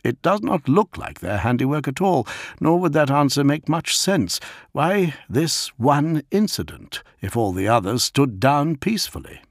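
A man's voice: on the high side, sing-song, unhurried, no echo, studio quality, voice pushed up, exaggerated voice. A man voicing a respectful, dutiful military officer in an audiobook, clipped, formal and urgent. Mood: grave reporting. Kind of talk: dramatic audiobook character.

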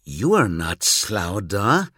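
A man's voice: lowish voice, big pitch swings, slow, a bit bright, breathy edge, dry recording, studio quality, exaggerated voice. A man voicing a sardonic, weary human man. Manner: sarcastic, bitter. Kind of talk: audiobook reading.

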